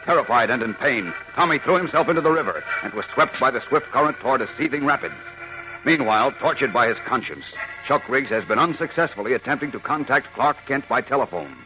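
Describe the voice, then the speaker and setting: A man's voice: mid-range voice, expressive, fairly quick. An American man narrating a 1940s radio serial in a dramatic, declamatory way. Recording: muffled 1940s radio recording. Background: music.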